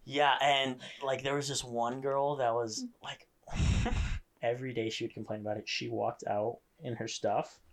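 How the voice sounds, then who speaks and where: A young man co-hosting a podcast, telling relaxed stories and teasing his co-host. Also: mid-range voice, measured pace. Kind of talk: casual chat.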